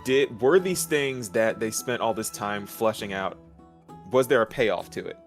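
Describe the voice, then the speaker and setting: Male co-host, lower voice, measured and a bit hesitant, casual review chat with music underneath. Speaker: video co-host.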